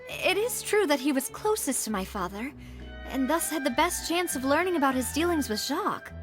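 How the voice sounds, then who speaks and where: A woman playing a poised, thoughtful young woman investigator in a video game, musing in a measured, deliberate way. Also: high voice, big pitch swings. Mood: doubtful, musing. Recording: game music behind the voice.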